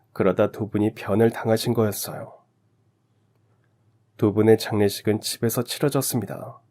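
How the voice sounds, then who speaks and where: Lower-pitched male radio story narrator. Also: warm tone. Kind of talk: scripted radio story narration in first person.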